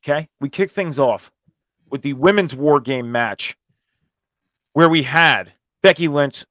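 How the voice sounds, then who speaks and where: A man with a hoarse voice, strained from screaming at a concert, hosting a casual, informal review and commentary show about wrestling. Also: a little high, a little slow, fairly bright tone, no room sound.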